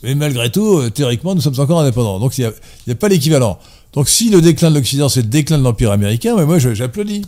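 Guy w a mellow voice debating history, lots of euh and restarts, goes off on tangents. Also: mid-range voice, sing-song, racing. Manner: erudite, digressive, many fillers and self-corrections. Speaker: debate guest. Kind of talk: casual debate chat.

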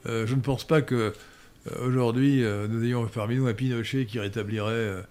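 A man holds forth in an unscripted question-and-answer session, speaking at an ordinary middle pitch for a man. He lectures in long explanations broken by frequent hesitation sounds, repeated words and self-corrections. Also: fairly even intonation, moderate pace, darkish tone, smooth. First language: French. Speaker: guest answering viewer questions. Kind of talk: unscripted spoken Q&A.

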